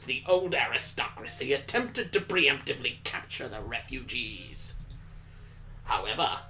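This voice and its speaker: A man voicing a grave, measured schoolteacher who lectures in a formal, deliberate way in a visual novel. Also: medium pitch, vocal fry, sharp tone, a little hiss or hum, heavily acted, rough recording.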